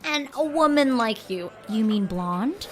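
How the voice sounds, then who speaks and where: Woman, highish voice, animated pitch, teasing, quick-witted bartender, audio drama dialogue. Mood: teasing, wry.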